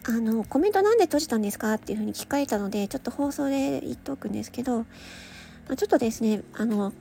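A woman with a high, lively, swooping voice that has some creak in it. She talks casually and solo to her listeners in a hesitant, meandering, giggly way, tired and a little slurred. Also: a little hiss or hum. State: tired, slightly slurred speech at night. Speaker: audio channel host and hobby voice performer. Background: soft background music or ambience.

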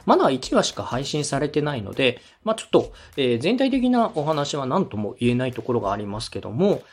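An animated, slightly husky man hosts a coaching segment on a podcast. He explains things politely and in clear steps, acting out examples, with faint music underneath. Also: mid-range voice, a little fast. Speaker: radio director and podcast host giving feedback. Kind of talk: structured coaching talk on a podcast.

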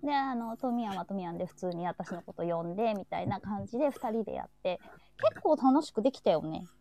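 Mellow-voiced woman chatting casually. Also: mid-range voice, expressive, fast talker, dry recording. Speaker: host of a live chat show. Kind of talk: casual chat.

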